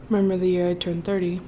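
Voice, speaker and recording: Slow-paced woman, casual mukbang chat. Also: on the low side, dark tone, a little hiss or hum. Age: early 30s. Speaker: mukbang vlogger. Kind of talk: casual chatty storytime while eating.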